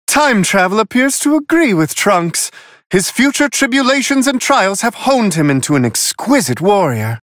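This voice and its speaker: Unflappable celestial attendant, male figure, prim and courtly, theatrical, very animated intonation, amused, video game commentator, dry studio sound. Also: high-pitched, dry recording, full character voice.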